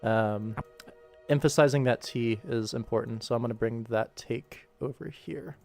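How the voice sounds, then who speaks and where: A man's voice: mid-range voice, moderate pace, gravelly, a little mellow, faint music behind. A man hosting a casual work livestream, chatty and self-deprecating as he explains details. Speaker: animation creator and livestream host.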